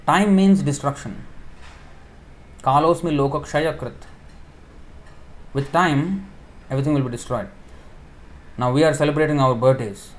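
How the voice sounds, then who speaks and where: A man's voice: on the high side, unhurried, pauses often. Man giving a chatty scripture talk. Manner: explains with homely examples, stops to correct himself, thinks out loud. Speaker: preacher giving a scripture class. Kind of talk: informal sermon.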